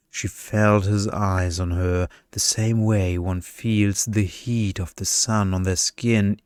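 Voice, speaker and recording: Slow, deep-voiced man reading a bedtime story. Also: darkish tone, clear, resonant tone, dry recording, clean studio sound.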